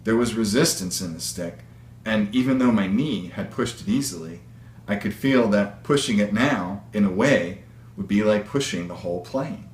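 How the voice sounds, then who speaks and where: Male author-narrator, lowish voice, measured expressive book reading, strong room echo. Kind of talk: read-aloud story narration. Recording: echoey room with faint hum.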